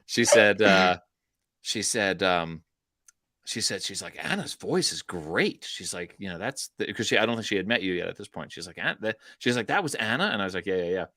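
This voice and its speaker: The lowish-voiced guy on a weekly sports chat show, joking with his co-hosts, close and dry. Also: a little fast, bright, forceful tone, no room sound. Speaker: co-host of a baseball talk show. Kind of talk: casual chat.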